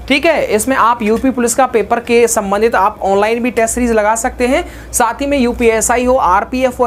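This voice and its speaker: A man giving exam guidance in an informal, repetitive, colloquial way, addressing viewers directly. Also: high voice. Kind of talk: informal explainer with sponsor plug. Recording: faint background noise.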